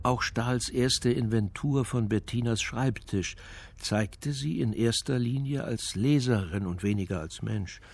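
A man's voice: mid-range voice, measured pace, creaky, a little mellow. A male actor playing the sober, matter-of-fact narrator of a detective story in a scripted radio play.

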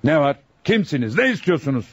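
An actor playing a stern, fatherly presiding judge in a radio drama, speaking formally, deliberately and with authority. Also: a little high, very animated, slightly rough, no echo. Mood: probing. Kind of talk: Turkish radio theatre acting. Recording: muffled, dry lo-fi radio recording.

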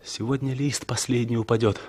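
A man's voice: mid-range voice, fairly even intonation, brisk, darkish tone, slightly rough, natural voice. An actor playing a solemn man who recites poetry in a 1960s Soviet radio play.

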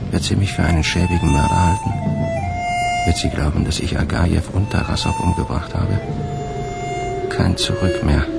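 A hunted, cynical man broods and questions himself in a radio play monologue. His voice is extremely low with a creaky, gravelly edge, set over prominent music. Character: hunted male suspect, cynical, brooding, plotting to hide. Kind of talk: radio drama inner monologue.

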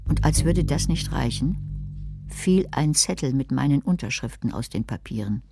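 An actress playing a scheming, bitter, watchful older woman who narrates a crime radio play in a measured, controlled, literary way. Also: rumbling low, mellow, husky, no room sound, studio quality, heavily acted.

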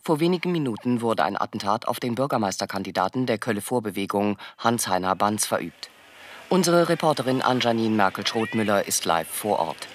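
An actor playing an earnest TV news anchor, presenting a bulletin formally within a radio drama. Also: male voice, lowish voice, expressive, fairly quick, slight background noise, lightly acted. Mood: formal, grave.

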